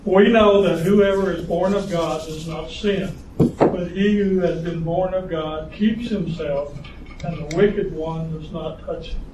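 A man's voice: on the high side, measured pace. A man in a Bible class who reads a passage aloud and asks a question. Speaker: class member reading scripture. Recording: distant voice in an echoey, noisy room.

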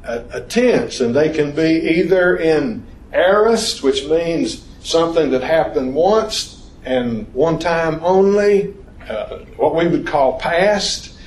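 A man teaching an informal Bible study lesson, talking slowly and haltingly with restarts and questions to the class. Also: a little high, lively intonation, darkish tone. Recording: echoey room.

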